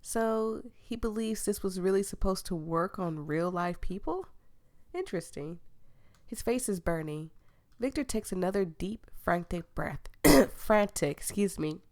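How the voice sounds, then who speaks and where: A woman's voice: mid-range voice, expressive, a little slow, a little mellow. A woman, sick and losing her voice, reading a dating game aloud with giggly, playful asides to viewers. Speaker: gaming streamer.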